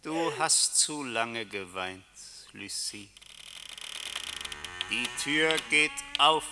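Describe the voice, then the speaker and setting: A man's voice: mid-range voice, lively intonation, drawn-out words, background noise. A man acting in a German radio play as a commanding, eerie death figure, solemn and incantatory. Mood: grave, commanding. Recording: long reverberant hall sound.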